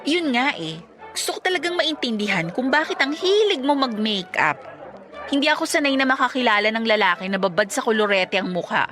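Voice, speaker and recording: A woman's voice: a little high, expressive, brisk, fairly bright tone, faint music behind, some chatter behind. A woman playing a candid, affectionate young girlfriend in a radio drama, blunt and questioning in a casual way. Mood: curious, skeptical.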